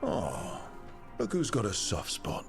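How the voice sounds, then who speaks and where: A man acting a steady, supportive, dryly witty hero in a fantasy video game. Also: fairly low pitch, takes it slowly, vocal fry, music in the background, exaggerated voice. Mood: dry, teasing. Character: steady, supportive male hero with dry wit.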